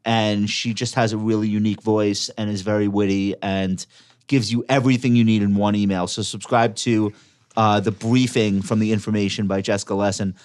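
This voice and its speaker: A man's voice: on the low side, level tone, fairly quick, darkish tone, clear, resonant tone. A man hosting a casual podcast panel, leading the talk in a loose, joking way and swearing now and then. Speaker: podcast host.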